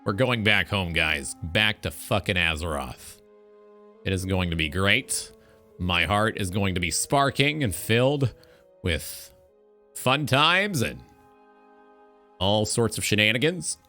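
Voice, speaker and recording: A man's voice: on the low side, expressive, slow, long pauses, pushed and bright, faint music behind. A man hosting a live stream in a casual, informal talk show style, swearing freely.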